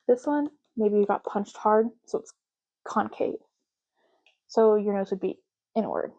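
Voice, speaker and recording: Soft spoken asmr lady, mellow voice, chatty clerk roleplay, kinda dull lo-fi sound. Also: medium pitch. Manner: casual, chatty, explanatory. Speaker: ASMR creator playing a clerk making ID cards.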